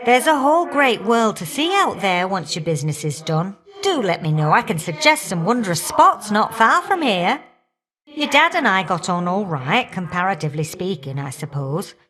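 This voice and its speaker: Fiery older goddess for a game, cheeky and folksy, teases you fondly. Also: female voice, mid-range voice, big pitch swings, moderate pace, fairly bright tone. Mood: wistful, teasing. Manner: folksy, teasing, affectionate endearments and colloquial turns of phrase. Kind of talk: video game character voice acting.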